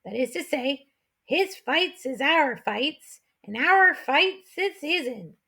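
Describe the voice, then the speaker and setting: A hearty old chief of a swamp tribe, played by a woman narrator, proud and ceremonious in a storybook adventure. The voice is high and gravelly, with rustic backwoods grammar and a folksy, speechmaking delivery. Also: lively intonation, takes it slowly, pushed and bright, exaggerated voice. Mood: proud, declaiming. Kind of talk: children's adventure audiobook character voice.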